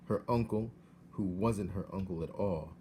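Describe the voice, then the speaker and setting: A man reads a children's story aloud with expression. His voice sits fairly low, and there is clear noise in the recording. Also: unhurried, warm tone. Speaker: read-aloud narrator. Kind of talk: expressive scripted storybook narration.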